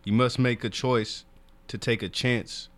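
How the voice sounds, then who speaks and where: A man's voice: mid-range voice, fairly even intonation, a little slow, fairly bright tone. A man co-hosting a podcast, laid-back as he delivers the quote of the day and steers the talk. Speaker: podcast co-host. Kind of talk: casual interview hosting.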